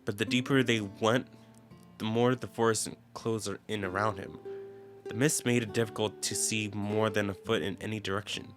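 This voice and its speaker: A man's voice: on the low side, fairly even intonation, normal speed. A man reading game text aloud as a dramatic narrator in a let's play. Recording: background game music. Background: game music with plucked guitar.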